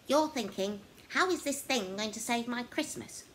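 A woman voicing a brash, boastful, easily wounded little creature in a children's Christmas story, loud and theatrical. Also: a little high, lively intonation, moderate pace, a little hiss or hum, low-quality recording. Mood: cocky, bossy. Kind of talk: children's story character reading.

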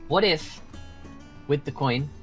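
A man's voice: fairly high pitch, slow, faint music behind. A man playing a self-righteous centuries-old quest leader in an improvised game roleplay, explaining things insistently. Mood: measured, persuasive.

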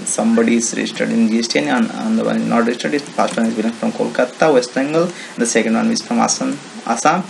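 A man with an Indian accent presenting an informal software walkthrough in halting, repetitive, non-native English phrasing. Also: mid-range voice, creaky. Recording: noisy home-made screen recording.

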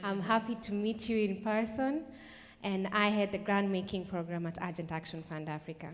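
A woman's voice: medium pitch, steady tone, normal speed. A woman introducing herself at a conference in a friendly, welcoming way. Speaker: conference participant. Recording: hall reverb.

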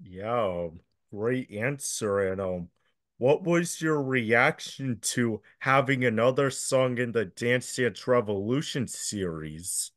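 A young man asks questions on a video call, speaking slowly and with many ums. His voice sounds dry and close, with hardly any room in it. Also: medium pitch, no echo. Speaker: interviewer. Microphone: video call, laptop webcam.

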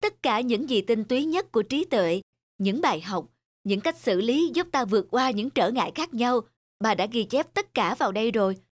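A woman voicing a proud, studious father turtle in an animated fairy tale, with an instructive, self-important manner. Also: on the high side, moderate pace, no room sound, studio quality.

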